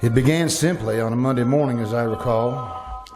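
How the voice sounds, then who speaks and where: An actor playing an earnest, uneasy male academic in an old-time radio drama, speaking in a deliberate, theatrical way. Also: mid-range voice, lightly acted. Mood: grim, haunted. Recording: background music, live stage performance.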